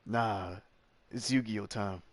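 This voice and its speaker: A man's voice: fairly low pitch, slow. A man giving casual, offhand commentary as he streams and reacts to a video game.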